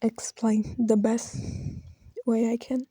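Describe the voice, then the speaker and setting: Woman close to tears, halting and whispery, dark husky voice, dry close sound. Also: on the high side, little pitch movement, no echo. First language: Brazilian Portuguese. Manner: halting, hesitant, drifting into soft whispers. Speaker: video creator. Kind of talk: emotional personal tribute.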